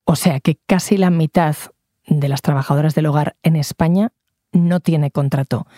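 A woman hosting a news podcast, reading a measured, clear script over a music bed. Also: sits low, fairly even intonation, mellow, no room sound, studio quality.

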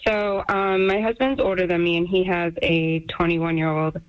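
A woman's voice: on the low side, steady tone, normal speed, smooth. A woman in her early thirties calling in to a radio show, speaking frankly and with firm opinions. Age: early 30s. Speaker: caller. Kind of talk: phone-in chat. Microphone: phone line. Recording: muffled phone-line caller audio.

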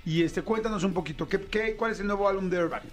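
High-pitched chatty Mexican host. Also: male voice, fast talker. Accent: Mexican Spanish. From Mexico City. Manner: chatty, enthusiastic, slangy. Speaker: podcast host. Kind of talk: casual radio interview.

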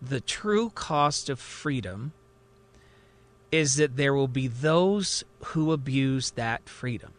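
A man's voice: medium pitch, expressive, takes it slowly. A man playing an agitated patient who insists he is the president, earnest and desperate, in a dramatized fiction podcast. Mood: earnest, reflective. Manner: earnest, increasingly desperate and angry.

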